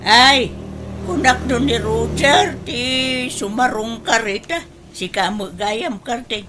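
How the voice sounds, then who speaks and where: A woman with an Ilocano accent playing a bossy, middle-aged mother in a comedy radio drama, with emphatic, drawn-out exclamations. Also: a little high, over music. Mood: coaxing. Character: bossy, excitable mother of grown daughters.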